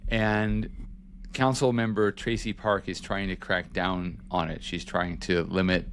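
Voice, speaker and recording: A man's voice: deep voice, flat delivery, a little slow, a little hiss or hum. A man hosting a podcast as a journalist, giving casual news updates in a chatty, self-correcting way.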